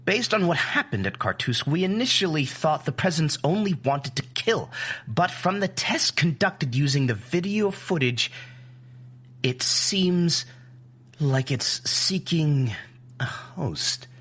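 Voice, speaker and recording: A grave male expert in an audio drama. His voice is husky and swings widely in pitch, and he explains with slow, clinical deliberation. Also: very animated, a bit bright, exaggerated voice.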